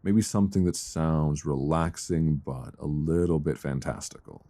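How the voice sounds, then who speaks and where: A man playing an affectionate, bookish boyfriend in an intimate ASMR roleplay, speaking softly, tenderly and soothingly close to a binaural microphone. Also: extremely low pitch, full character voice. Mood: cozy, sleepy. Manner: soft, tender, unhurried, soothing.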